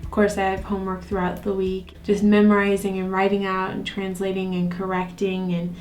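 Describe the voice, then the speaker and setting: A woman's voice: medium pitch, completely flat delivery, brisk, darkish tone, poor audio. A woman in her thirties speaking as a missionary in a documentary interview. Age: 30s. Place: indoor room at home. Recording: faint music behind the voice.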